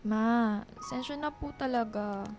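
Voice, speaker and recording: An actress playing a caring, apologetic young daughter in a Filipino radio drama, speaking respectfully and pleadingly. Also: female voice, fairly high pitch, slow, darkish tone, soft background music. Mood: apologetic, earnest.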